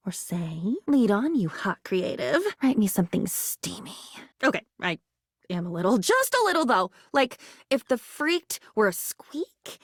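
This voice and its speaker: A woman's voice: on the high side, creaky, dry recording, clean studio sound, heavily acted. A woman voicing a sweet, naive and chatty living diary in a video game, rambling with wide-eyed wonder. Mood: delighted, flirty, then nervous, shy. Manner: breathless rambling, wide-eyed, swings from hushed to gushing.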